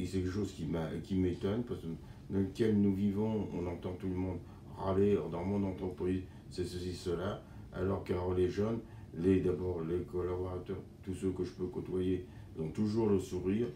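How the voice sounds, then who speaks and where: An older man speaks earnestly in a very low, dark, mellow voice, halting and restarting as he searches for words. He is recorded from a distance in an echoey room with a faint hiss behind him. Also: steady tone. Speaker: association board member. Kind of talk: testimonial interview.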